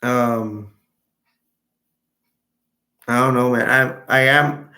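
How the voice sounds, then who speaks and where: A young man from Texas chatting casually on a live stream, answering viewers in a rambling, halting way with many restarts. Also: medium pitch, fairly even intonation, pushed and bright. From Texas, United States.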